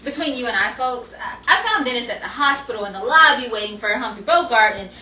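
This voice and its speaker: A woman's voice: on the high side, expressive, fast, bright, forceful tone. A woman playing a pleading, insistent Hollywood wife in melodramatic 1940s radio comedy style. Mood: explaining. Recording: echoey, distant, faintly noisy recording.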